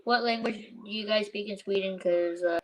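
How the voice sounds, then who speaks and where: A woman's voice: medium pitch, steady tone, low-quality recording. Eager woman firing off questions. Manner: eager, rapid questions with restarts. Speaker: chat participant. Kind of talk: casual video chat.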